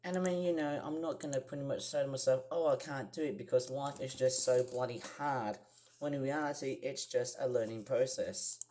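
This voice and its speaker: A young man with a croaky voice, chatting casually in a rambling vlog with many fillers and restarts. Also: mid-range voice, fairly quick, mellow. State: croaky, clearing throat. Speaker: vlogger. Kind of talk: casual screen-share vlog.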